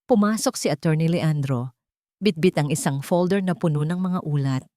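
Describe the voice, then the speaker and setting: Woman, lowish voice, dramatic storyteller, emotive and deliberate, close dry sound. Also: warm tone, no echo. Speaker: audio drama narrator.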